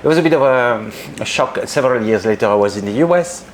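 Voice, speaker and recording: A man's voice: mid-range voice, lively intonation, quick pace, slight background noise. A man with a French accent chatting as an interview guest, telling anecdotes in a hesitant, self-deprecating way. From northeast France. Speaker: guest, wine educator.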